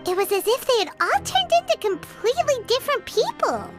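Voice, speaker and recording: An actress voicing a tiny, excitable female companion sprite in a video game, chatty and blunt, speaking of herself by name. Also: extremely high pitch, a little fast. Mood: puzzled. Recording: game audio with loud music.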